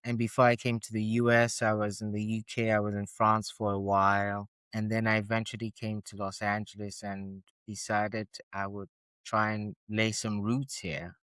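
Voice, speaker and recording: Middle-aged man, gentle reflective guest. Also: fairly low pitch, flat delivery, moderate pace, smooth, no room sound. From Ghana, Switzerland and the UK. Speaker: touch therapist and intimacy coach guest. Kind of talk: casual interview storytelling.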